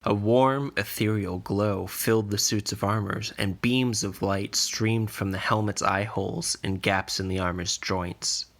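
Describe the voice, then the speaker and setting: A man narrating a scripted fantasy story in a measured, deliberate way. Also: fairly low pitch, fairly even intonation, a bit bright. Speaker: podcast narrator.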